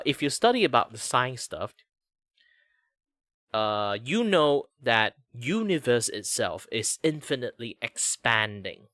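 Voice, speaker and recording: A man's voice: on the low side, a bit bright, no room sound, studio quality. A young man with a Malaysian English accent, hosting a casual stream while he draws and rambles chattily with lots of fillers. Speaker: streamer host. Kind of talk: casual chat while drawing.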